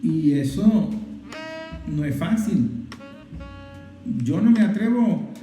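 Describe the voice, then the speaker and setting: A man's voice: fairly high pitch, expressive, slow pace, dark tone. A man delivering a political commentary monologue in an emphatic way, piling up repeated phrases in lists. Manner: emphatic, repetitive, building lists. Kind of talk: impassioned political monologue to viewers. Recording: roomy echo, guitar music behind.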